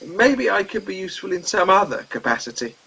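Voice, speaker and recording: A man playing a gentle, doddery elderly crewman in a comedy radio play, mild and apologetic. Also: sits high, very animated, a little fast, vocal fry, slightly rough, heavily acted, rough recording. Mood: meek.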